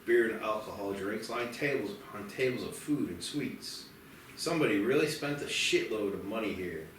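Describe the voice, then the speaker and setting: A man's voice: lowish voice, animated, moderate pace, fairly bright tone. A man reading out screenplay stage directions as narrator at a table read. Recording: echoey room, distant mic, faint noise.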